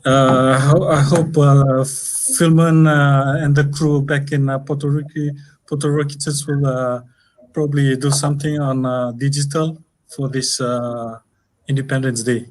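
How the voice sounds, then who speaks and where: A man's voice: mid-range voice, little pitch movement, measured pace. A man with a Fijian accent speaking as a panel guest, hesitant with many fillers. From Fiji.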